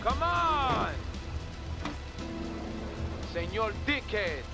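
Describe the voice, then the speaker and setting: A man's voice: high-pitched, big pitch swings, drawn-out words, creaky, over loud music, exaggerated voice. A man voicing a hot-tempered gangster in a video game, taunting and threatening. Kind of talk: video game cutscene acting.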